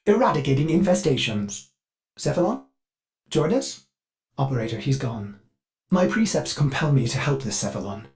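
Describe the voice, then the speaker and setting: An actor voicing a devoted ship computer AI in a video game, fussy, polite and eager with violent outbursts, through glitchy synthetic processing. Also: male voice, a little high. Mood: helpful, devoted. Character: fussy, devoted ship computer AI, male, with flashes of bloodthirsty rage. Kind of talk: scripted video game character lines.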